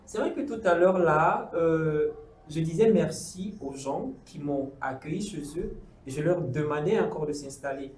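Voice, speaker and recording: High-voiced Cameroonian man presenting, echoey. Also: darkish tone, recorded from a distance. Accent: Cameroonian French. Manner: formal, unhurried introductions with frequent fillers. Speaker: host. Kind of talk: studio talk show presenting. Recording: echoey room, voice off-mic.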